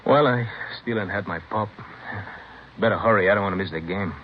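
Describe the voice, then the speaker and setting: An actor playing a slangy, taunting small-time gangster and drug user in a 1950s radio crime drama. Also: male voice, fairly low pitch, fairly quick, lightly acted. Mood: unbothered. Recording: muffled old radio recording, faint noise.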